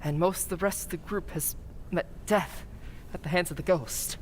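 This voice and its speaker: A woman's voice: normal speed, lightly acted. A woman playing an earnest, polite, nervous young townsman in old-time radio drama style, with hesitant delivery. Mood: polite, apologetic. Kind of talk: 1940s radio drama acting. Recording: faint rumbling background noise.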